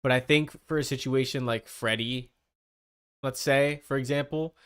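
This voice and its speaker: Smooth-voiced man chatting on stream. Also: medium pitch, fairly even intonation, moderate pace, fairly bright tone. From Canada. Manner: rambling, thinking aloud. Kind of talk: casual livestream chat.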